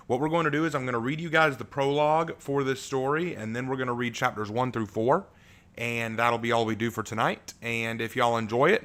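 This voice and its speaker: Deep-voiced man reading his own story. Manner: relaxed, with fillers and restarts. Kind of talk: casual stream chat, then reading a prologue aloud.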